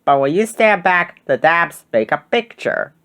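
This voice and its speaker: A man's voice: fairly high pitch, sing-song, measured pace, a bit bright, clear, resonant tone, heavily acted. A man voicing a kindly grandmother explaining things in a children's storybook. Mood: gentle, explaining.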